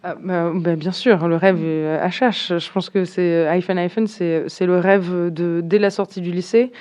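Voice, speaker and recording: A woman's voice: on the low side, steady tone, moderate pace, a little mellow. A young woman from southern France, a band's singer, answering interview questions shyly and hesitantly as she searches for her words. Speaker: singer of a band. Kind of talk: casual interview.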